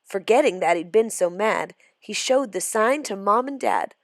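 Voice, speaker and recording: A woman reading a children's storybook aloud as expressive scripted narration. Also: mid-range voice, moderate pace, no echo, clean studio sound. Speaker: storybook reader.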